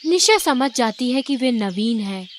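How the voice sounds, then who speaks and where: A woman's voice: fairly high pitch, very animated, measured pace, noisy background. A woman reading scripted story narration.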